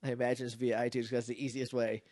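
A man co-hosting a casual podcast, chatty and quick to laugh, with a slightly nasal voice. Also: medium pitch, no room sound. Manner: chatty, laughs often, animated. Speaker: podcast co-host. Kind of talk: casual chat.

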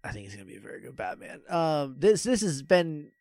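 A podcast host chatting casually and jokingly in a group, teasing and quick to laugh. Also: mid-range voice, sing-song, vocal fry, darkish tone, no echo.

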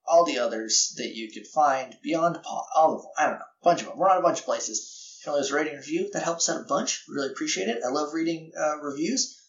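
A man's voice: fairly high pitch, quick pace, roomy sound, dull audio. A man hosting a podcast, giving casual, rambling announcements in a slightly scratchy voice, often correcting himself and chuckling at his own remarks. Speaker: podcast host.

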